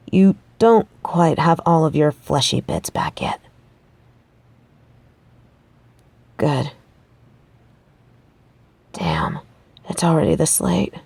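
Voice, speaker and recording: A woman's voice: deep voice, creaky, dark tone, dry recording, heavily acted. A woman playing a determined, pragmatic researcher of the supernatural in an audio roleplay, speaking in a conversational, matter-of-fact way. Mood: tired, caring. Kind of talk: audio roleplay acting, addressing the listener.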